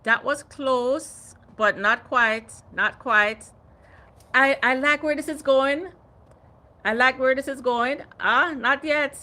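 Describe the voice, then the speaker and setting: A woman's voice: high voice, takes it slowly, pushed and bright. A woman in her fifties hosting a casual gardening livestream, chatting animatedly and reading out viewer comments. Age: 50s. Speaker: gardening channel host.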